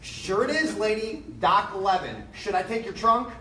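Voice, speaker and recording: A woman's voice: medium pitch, expressive, normal speed, a bit bright, natural voice. A woman playing a put-upon working-class cab driver in a live 1930s-style radio drama, protesting in colloquial, exasperated phrases. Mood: reassuring. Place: live on stage in a large theater. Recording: distant, echoey theater stage, faint noise.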